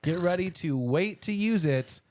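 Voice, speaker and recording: A man's voice: sits high, animated, a little slow, darkish tone. An American man co-hosting a podcast, chatting casually and giving wry, opinionated asides on entertainment news. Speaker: podcast co-host.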